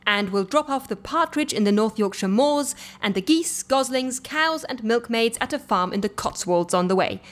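An actress playing a brisk, droll, capable woman who gently bosses an eccentric office in an audio comedy. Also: fairly high pitch, animated, lightly acted. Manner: droll, organised, gently bossy. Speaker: actor in a comedy fiction podcast. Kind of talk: audio comedy drama acting.

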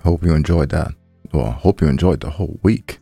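Very low laid-back man, casual co-host. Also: a little fast, vocal fry, dark tone, slightly rough, no room sound, soft background music. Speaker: podcast co-host and sound designer. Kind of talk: casual chat.

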